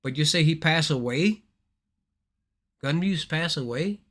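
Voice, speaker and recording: A man's voice: on the high side, animated, measured pace, a bit bright. A man from Thailand hosting a casual gaming livestream, rambling and repeating his words as he talks straight to his viewers.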